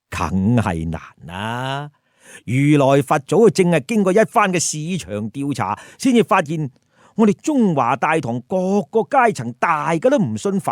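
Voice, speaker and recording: Male storyteller, lively swings in pitch, unhurried delivery, chatty comic commentary with rhetorical questions. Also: no echo, clean studio sound. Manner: colloquial, rhetorical questions to listeners. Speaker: storyteller, host.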